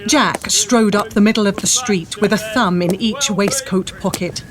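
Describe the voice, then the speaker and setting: A woman narrates a tale for an audio drama. Her voice sits at a medium pitch as she delivers scripted storytelling prose. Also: fairly even intonation, normal speed, a crowd in the background.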